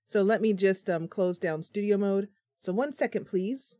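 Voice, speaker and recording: A woman's voice: medium pitch, moderate pace, mellow, no echo, studio quality. A tired woman streaming live from her home computer, chatting casually, laughing at her own slip-ups. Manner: chatty, giggly, self-deprecating.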